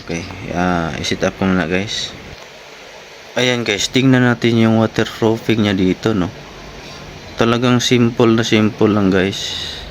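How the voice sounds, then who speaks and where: A man's voice: lowish voice, unhurried, pauses often. A man giving a casual product review to viewers in short, informal phrases. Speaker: vlogger reviewing gear. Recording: clearly noisy, steady background hum.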